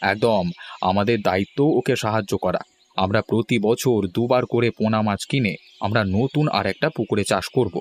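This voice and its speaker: A man's voice: lowish voice, steady tone, moderate pace, a little hiss or hum, lightly acted. A man playing a blunt, bargaining villager in a cartoon, informal and matter-of-fact. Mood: approving. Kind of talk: cartoon voice acting.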